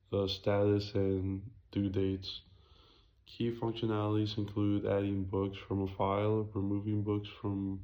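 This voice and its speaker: A young man presents a student project in a raspy voice with a completely flat intonation. He speaks haltingly and corrects himself as he goes, on a slightly muffled, lo-fi recording. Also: fairly low pitch. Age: young adult. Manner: hesitant, halting, self-correcting.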